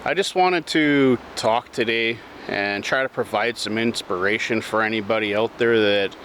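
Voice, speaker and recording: A man giving an unscripted motivational talk as a channel host, speaking in a reflective, halting, rambling way. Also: on the low side, a bit bright, a little hiss or hum.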